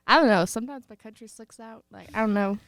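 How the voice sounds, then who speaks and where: A woman's voice: fairly high pitch, fast talker, fairly bright tone, no room sound, studio quality. A young woman from rural Texas with a light Texan accent, co-hosting a podcast in a joking, laughing way. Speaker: podcast co-host. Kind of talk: casual chat.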